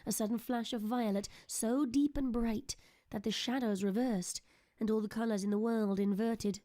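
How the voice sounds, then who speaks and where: A woman giving dramatic scripted narration for an audio drama, expressive and suspenseful. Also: fairly high pitch, moderate pace, dry recording, clean studio sound. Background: prominent background music.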